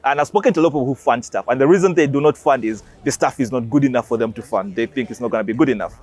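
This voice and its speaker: Fast-talking man in an interview. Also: mid-range voice, darkish tone. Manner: emphatic, explanatory. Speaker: animation creator. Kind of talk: interview answers.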